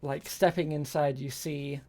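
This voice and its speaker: A game master improvising narration for a tabletop role-playing game, haltingly, searching for words as the scene is described. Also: mid-range voice, little pitch movement, warm tone.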